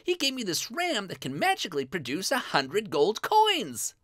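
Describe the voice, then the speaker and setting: A woman's voice: medium pitch, wildly swooping pitch, moderate pace, soft background music, voice pushed up. A woman voicing a hot-headed, naive young boy in a children's folktale, exclaiming in an eager, whiny way. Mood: excited.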